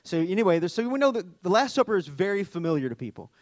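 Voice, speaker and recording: Middle aged southern guy preaching, kinda high voice, jokey and animated, dry close sound. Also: fast talker, no echo. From Arkansas, US. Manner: chatty, joking asides, animated storytelling. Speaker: worship leader and preacher. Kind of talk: conversational sermon with scripture reading.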